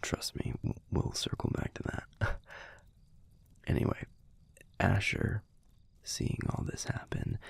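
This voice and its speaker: Man acting as a deep, gravelly werewolf boyfriend, hushed. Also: no room sound, exaggerated voice. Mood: animated, amused. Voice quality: gravelly, husky, breathy. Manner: hushed, halting, conversational delivery with pauses. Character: young male werewolf boyfriend, gruff but fondly reminiscing. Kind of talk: intimate audio roleplay storytelling, hushed.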